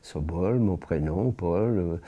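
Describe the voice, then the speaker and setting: A man, giving a personal testimony in a grave, measured way with frequent pauses and restarts. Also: low voice, muffled recording. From born in France. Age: 80s.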